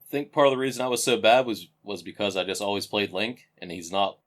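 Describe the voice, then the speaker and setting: Man, 30s, podcast co-host; rapid, jokey storyteller. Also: on the low side, a bit bright. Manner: joking, storytelling with silly voices. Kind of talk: casual chat.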